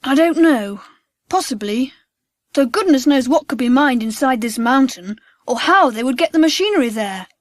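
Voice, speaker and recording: A man voicing adventurous schoolchildren in an audiobook story, with an earnest, excitable manner. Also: very high-pitched, moderate pace, dry recording, clean studio sound, voice pushed up. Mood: puzzled.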